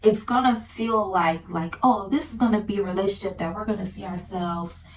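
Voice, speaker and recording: A woman's voice: medium pitch, normal speed. A woman in her early twenties giving casual advice in a girly, familiar chit-chat style, like a vlogger talking to her viewers. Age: early 20s. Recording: distant mic with light background noise.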